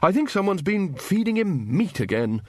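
A man acts out a hearty old wizard headmaster for a fantasy storybook. He blusters along absent-mindedly and trips into ums and uhs, his pitch lifted and swooping. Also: big pitch swings, moderate pace, darkish tone, dry recording, studio quality. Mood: hearty, booming.

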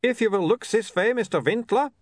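Man putting on a timid vampire photographer, high and anxious, storybook voice, overly polite, dry close sound. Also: expressive, a little fast, dry recording.

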